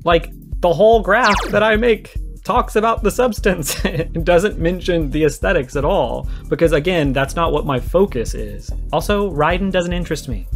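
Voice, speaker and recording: A man with a fairly high voice delivers animated commentary for a response video. He argues his case emphatically and explains it point by point, with music playing behind him. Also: moderate pace. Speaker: video essayist responding to another creator.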